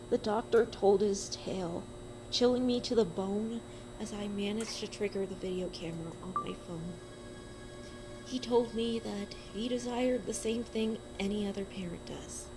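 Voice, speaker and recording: A woman's voice: mid-range voice, low-quality recording. A woman giving a dramatic first-person reading of a horror story, with a suspenseful, emotive delivery.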